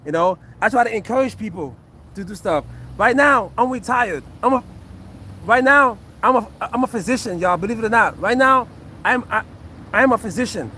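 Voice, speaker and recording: A man's voice: high-pitched, animated, normal speed, bright, forceful tone, a little hiss or hum. A man in his fifties, a retired fighter, breaking down a fight casually for a live chat, repeating himself earnestly. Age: 50s. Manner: repetitive, earnest, talks to chat. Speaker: retired fighter and acupuncture physician.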